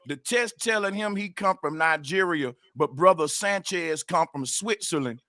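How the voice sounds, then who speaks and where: A man with a high, bright, sharp voice, arguing hard and refusing to give up the floor. The sound is dry and close, with no room around it. He presses each point and swears freely. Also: normal speed, dry recording. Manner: insistent, talks over others, swears. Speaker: debater. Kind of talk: heated debate.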